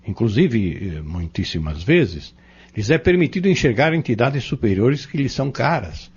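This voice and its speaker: Man acting earnest Spiritist teacher, Brazilian accent, strongly raspy, animated emphasis, patient, didactic audio-novel dialogue, muffled recording. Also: on the low side, exaggerated voice. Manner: didactic, emphatic, measured phrasing. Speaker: Spiritist instructor in an audio drama. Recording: dull, lo-fi audio.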